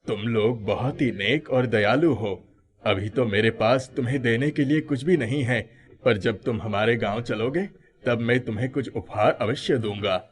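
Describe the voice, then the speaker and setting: Man voicing a gentle older villager, lowered pitch. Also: moderate pace, close to an everyday voice. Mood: grateful, sincere. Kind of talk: animated moral story character dialogue.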